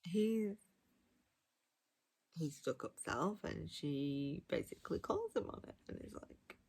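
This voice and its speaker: A woman's voice: low-pitched, expressive, takes it slowly, a little mellow, husky. A woman giving a casual, chatty book review with gushing, emphatic enthusiasm. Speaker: audiobook reviewer.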